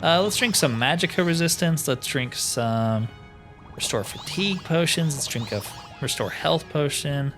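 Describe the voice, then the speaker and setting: A man's voice: a little high, expressive, moderate pace, fairly bright tone. A man giving casual let's play commentary, musing and asking himself questions as he goes. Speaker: host of a gaming roleplay series. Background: music behind the voice.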